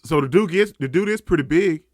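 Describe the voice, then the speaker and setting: Man, higher-pitched voice, emphatic and repetitive, casual reaction host, dry close sound. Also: brisk, dry recording. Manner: excitable, emphatic, repeats himself. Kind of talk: casual anime reaction and review.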